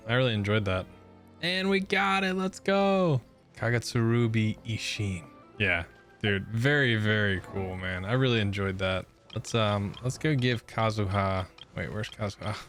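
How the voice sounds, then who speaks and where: Lowish guy streamer reacting to a game, chill slang, rambly but into it, faint music behind. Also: fairly bright tone. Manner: informal, rambling, enthusiastic. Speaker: gaming content creator. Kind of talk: casual gameplay commentary, slangy reactions.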